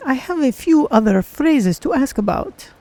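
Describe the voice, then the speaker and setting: A woman's voice: high voice, lively intonation, moderate pace, mellow. A woman voicing a curious, naive cat-folk adventurer, musing aloud in careful, formal phrases as she plays a game. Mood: inquiring. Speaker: streamer voicing own game character. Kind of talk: in-character game playthrough narration.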